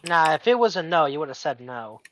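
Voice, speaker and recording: A man's voice: fairly high pitch, big pitch swings, a bit bright, slight background noise. A young man playing in a tabletop game over voice chat, bantering and arguing with the game master. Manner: bantering, pushy, quick to argue. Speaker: player. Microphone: online voice chat mic.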